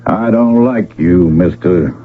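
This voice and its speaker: An actor playing a hostile young rancher's son in a radio western, with a surly American rustic drawl. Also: male voice, low voice, slow, a little hiss or hum. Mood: hostile, accusing. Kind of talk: 1970s radio western acting. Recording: tinny old radio broadcast, faint music.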